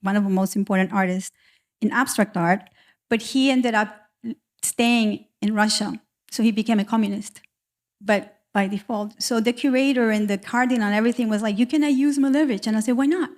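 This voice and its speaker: A woman's voice: fairly high pitch, a little fast, a little mellow. A middle-aged woman from America, telling personal stories as an artist on a panel in an emphatic, candid, conversational way. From South America.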